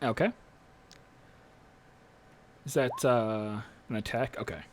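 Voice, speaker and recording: A man's voice: mid-range voice, takes it slowly, a little hiss or hum. A man speaking casually and a little hesitantly as game master of a tabletop role-playing game.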